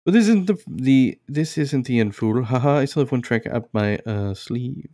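A man voicing a gloating, scheming trickster creature, reading video game dialogue in theatrical, halting taunts. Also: mid-range voice, animated, mellow, no room sound, clean studio sound, lightly acted.

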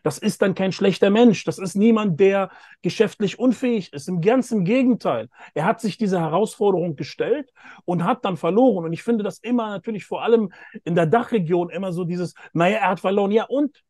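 Man in his 30s, host, high-pitched voice, emphatic, repeats himself, dry close sound. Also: fairly quick, darkish tone, dry recording. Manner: emphatic, repeats phrases for stress. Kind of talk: video-call interview. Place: outdoors by a pool with greenery. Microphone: phone or laptop camera, video call.

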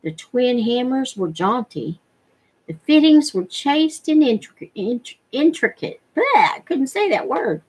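A middle-aged woman reading a novel aloud in a measured, expressive storytelling manner. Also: on the high side, breathy edge, rough recording. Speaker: reader. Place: living room at home. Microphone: webcam at desk distance.

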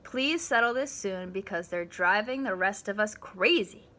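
Woman, thirties, bright mid-pitched voice, measured storybook reading. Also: lively intonation. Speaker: school staff member reading aloud. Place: living room at home.

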